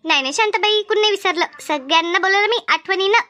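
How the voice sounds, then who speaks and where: A woman's voice: extremely high pitch, fast talker, bright, forceful tone. A woman voicing a harried, fussy village housewife in a cartoon comedy, rambling and repeating herself in a hurry. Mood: defensive, hurried. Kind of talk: animated cartoon comedy dialogue.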